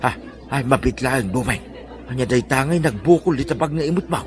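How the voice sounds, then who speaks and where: A man's voice: mid-range voice, animated, a little fast, close to an everyday voice. A man with an Ilocano accent playing a talkative, concerned village neighbour in a comedy drama. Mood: animated.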